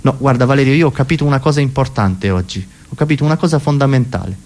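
A man's voice: medium pitch, fast talker, darkish tone. A man performing a comic cabaret monologue in a rambling, self-interrupting, neurotic manner. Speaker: comedian.

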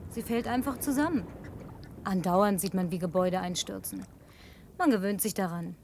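An actress playing a bossy, house-proud young woman in a radio play, brisk and commanding. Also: medium pitch, noisy background, natural voice. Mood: matter-of-fact, proud.

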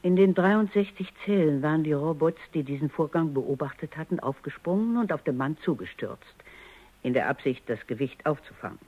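An actress playing a stern, coolly analytical robot psychologist in a radio drama, precise, commanding and didactic. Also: female voice, low-pitched, animated, a little fast. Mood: measured narration. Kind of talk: German radio drama acting. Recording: muffled, dull radio drama recording.

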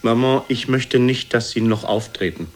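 An actor playing a vain poet reproving his mother with measured formality, in radio play style. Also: mid-range voice, faint music behind, natural voice. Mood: disapproving. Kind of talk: German radio play acting.

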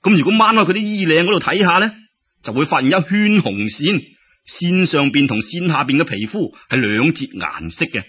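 A man's voice: fairly high pitch, very animated, normal speed, breathy edge, highs cut off. A man with a Cantonese accent telling a folk tale in a theatrical, colloquial and exclamatory storytelling style. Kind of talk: dramatic traditional folk-tale narration.

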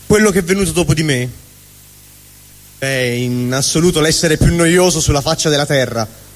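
A man's voice: fairly high pitch, normal speed, voice pushed down, close to an everyday voice. A man performing a theatrical comic stage monologue as an angry, vulgar but honest middle finger, ranting sardonically. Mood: sardonic, scornful. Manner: ranting, sardonic, swooping between mutter and shout. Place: live stage at a fundraising event. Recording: echoey hall reverb, live stage.

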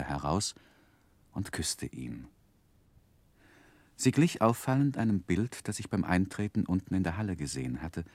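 Reflective storyteller in vintage radio drama; low-pitched, very melodic delivery, earnest and carefully phrased. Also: male voice, a little mellow, no echo. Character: earnest gentleman recounting his boyhood, tender and reflective. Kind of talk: literary first-person narration in 1960s German radio drama.

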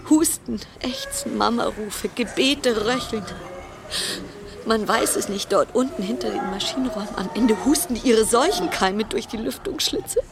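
An actress in a German radio play voicing a frayed, suspicious cruise ship passenger in a brooding monologue of broken-off, repeated phrases. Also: female voice, a little high, lively intonation, normal speed, vocal fry. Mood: suspicious, uneasy. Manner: fragmented, broken-off sentences, repeating words. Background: music.

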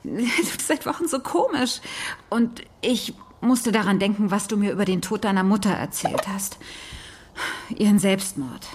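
A woman's voice: mid-range voice, expressive, normal speed, a little mellow, slight background noise, close to an everyday voice. A woman playing an anxious, pleading, concerned friend in a radio drama. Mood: concerned, careful.

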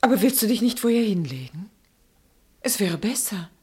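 An actress playing a gentle, solicitous wife and mother with a husky, breathy voice, in a German radio drama. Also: medium pitch, sing-song, normal speed, no echo. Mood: tender, concerned.

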